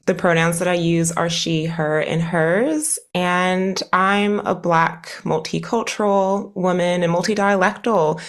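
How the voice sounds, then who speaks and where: Enthusiastic American woman, lower voice. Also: steady tone, a little slow, hardly any pauses. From United States. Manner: enthusiastic, lists many topics, laughs easily. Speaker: guest speech therapist. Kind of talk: casual interview talk.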